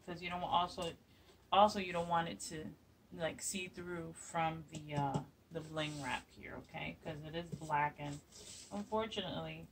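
A woman's voice: deep voice, distant mic, noisy background. A woman in her forties, chatty and upbeat with plenty of fillers, hosting a DIY craft tutorial in her home kitchen. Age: 40s. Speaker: craft channel host. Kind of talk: casual DIY tutorial chat. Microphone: phone camera a few feet away.

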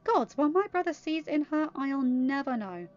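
A woman's voice: high voice, expressive, normal speed, a little mellow, dull audio, soft background music, pitched above the performer's own voice. Woman playing a cheeky, emphatic teenage princess. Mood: teasing.

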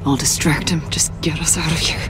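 A woman's voice: low voice, steady tone, fairly quick, over music, lightly acted, rough recording. A woman playing an anxious but brave captive government agent in a video game, urgent and pleading. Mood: tense resolve. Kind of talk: video game drama acting.

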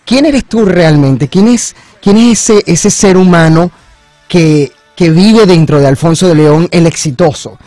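Male radio interviewer, fairly high pitch, enthusiastic, emphatic repetitions, conversational, dry studio mic. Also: a little slow, dark tone, no echo, soft background music. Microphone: studio microphone on boom arm, headphones.

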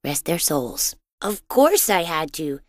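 A woman voicing a boisterous, imaginative and boastful young boy in a cartoon-style video game, with an excitable, dramatic, childlike delivery. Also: sits low, slow pace, no room sound, clean studio sound, heavily acted. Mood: earnest, bragging. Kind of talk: animated video game voice acting.

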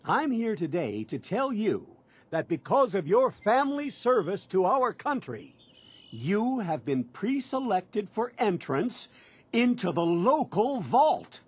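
An actor playing a pushy, cheerful, polite door-to-door salesman in a video game, with a raspy voice. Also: high voice, very animated, takes it slowly, full character voice. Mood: eager, urgent. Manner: effusive, polite, ingratiating. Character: eager, fast-talking male door-to-door salesman, pushy and cheerful.

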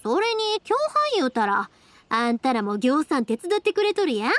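An actress playing a cheerful, warm-hearted young woman with a Kansai accent in an anime game, speaking in a friendly, breezy, folksy way. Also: high-pitched, sing-song, fairly quick. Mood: breezy, confident.

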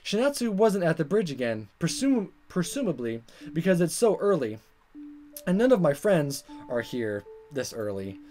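A man reading a visual novel aloud as a let's player, in expressive narration with casual asides and stumbles that he corrects. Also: a little high, moderate pace, faint music behind. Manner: animated, stumbles over words and corrects himself.

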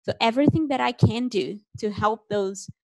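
A woman's voice: on the high side, normal speed, vocal fry, mellow, dry recording, poor audio. A woman in her thirties from Brazil, speaking English with a Brazilian Portuguese accent as a panelist on a video call, earnest and reflective, using a headset mic in a small home room. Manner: earnest, reflective, lightly self-joking. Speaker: panelist, podcaster.

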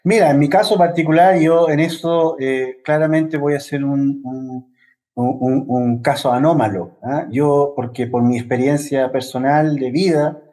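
A middle-aged man answers interview questions reflectively and haltingly, speaking over a video call with earphones. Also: mid-range voice, fairly even intonation, dark tone. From Venezuela and Chile. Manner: reflective, halting, often adds "¿ya?" tags. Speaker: interview guest, academic researcher. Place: plain office, indoors. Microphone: wired earphones on a video call.

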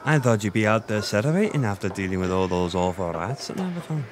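A man playing a flirty, gentle Irish innkeeper in an intimate, conversational roleplay audio, with a teasing, self-deprecating manner. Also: on the low side, lively intonation, fast, background noise, lightly acted.

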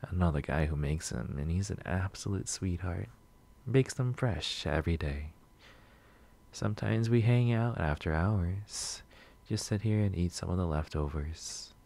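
A man playing a shy, sweet, nervous coffee shop regular in a soft-spoken ASMR roleplay, gentle, hesitant and rambling. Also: low voice, a little slow, gravelly, full character voice. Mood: relaxed, chatty. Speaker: roleplay audio performer.

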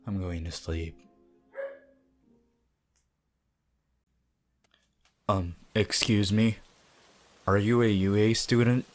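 A low-voiced, deadpan teenage anime hero, acted by a man in an ASMR roleplay. He drawls slowly and teasingly. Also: faint music behind, lightly acted. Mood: reluctant, tired. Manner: slow, languid, teasing.

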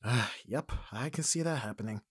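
A man's voice: medium pitch, moderate pace, background noise, lightly acted. A man playing a flustered, awkward young best friend in an intimate ASMR roleplay, speaking hesitantly with sighs and stammers. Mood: puzzled, piecing together. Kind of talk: ASMR roleplay, one-sided intimate dialogue.

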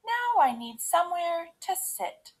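A woman voicing a brash, fussy little girl in a storybook, with dramatic exclamations and drawn-out complaints. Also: very high voice, big pitch swings, pitched above the performer's own voice, exaggerated voice. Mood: delighted.

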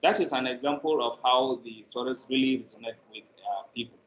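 A man's voice: mid-range voice, fairly quick. A middle-aged man with a Nigerian accent explains his work in an interview. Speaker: guest, drama producer. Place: indoor room, video call. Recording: roomy, muffled, noisy video call.

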